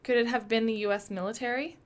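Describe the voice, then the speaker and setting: A woman playing a determined leader of an urgent investigation in a radio play, speaking in a brisk, probing, blunt way. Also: fairly high pitch, steady tone, natural voice.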